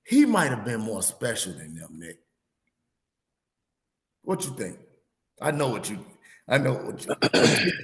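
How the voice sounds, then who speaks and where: A man in his thirties or forties hosting a sports podcast, losing his voice, rambling and animated as he tells stories in casual chat. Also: mid-range voice, gravelly, roomy sound. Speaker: podcast host.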